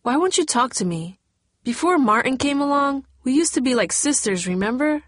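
A woman's voice: sits high, animated, moderate pace, no room sound, voice pushed up. A woman narrator voicing a blunt, slangy teenage girl who is a close friend. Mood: worried. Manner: brash, slangy.

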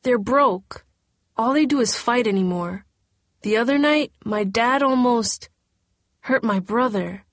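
A troubled teenage girl talks through worry and strain, as a woman reading the story voices her. Her voice sits at a middling pitch and comes across close and dry. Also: expressive, a little slow, no echo. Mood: distraught. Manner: emotional, strained.

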